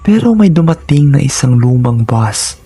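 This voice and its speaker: A man's voice: medium pitch, very animated, normal speed, mellow, breathy edge, no room sound. A man reading a scripted first-person horror story in a dramatic, suspenseful manner.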